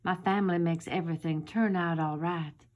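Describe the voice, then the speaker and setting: A woman's voice: lowish voice, fairly even intonation, normal speed, highs cut off, voice pushed down, lightly acted. A woman voicing a little zombie ballerina girl, dramatic and eager, in a theatrical children's storybook reading. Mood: proud, content.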